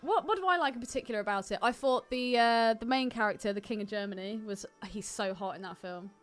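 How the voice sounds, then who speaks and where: A woman's voice: mid-range voice, quick pace, faint music behind. A woman co-hosting a podcast, chatting informally and enthusiastically about films. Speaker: podcast co-host. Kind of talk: casual film podcast chat.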